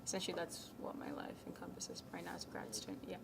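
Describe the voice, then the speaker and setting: A young woman from Ghana, a college tennis captain, answering interview questions in a soft, casual way with many ums, sometimes dropping to a near whisper. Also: fairly low pitch, very animated, fast, creaky. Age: young adult. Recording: noisy background.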